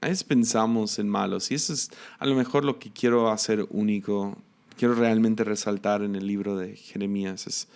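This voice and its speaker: A man with a Mexican accent giving a reflective devotional teaching, musing aloud with restarts and soft laughs. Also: on the low side, fairly even intonation, normal speed, clean tone. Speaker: preacher.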